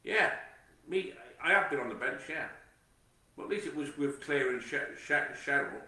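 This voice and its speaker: A man's voice: a little high, animated, normal speed, sharp tone, echoey room, recorded from a distance. A man with a Nottingham accent, rambling in a monologue to camera, swearing constantly, repeating himself and stumbling over his words. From England. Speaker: vlogger.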